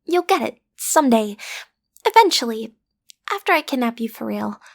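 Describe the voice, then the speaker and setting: A woman's voice: on the high side, big pitch swings, moderate pace, creaky, full character voice. A woman playing a cute, easily flustered teenage villain's daughter in an audio roleplay, stammering earnestly as she tries to seem intimidating. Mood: awkward, earnest.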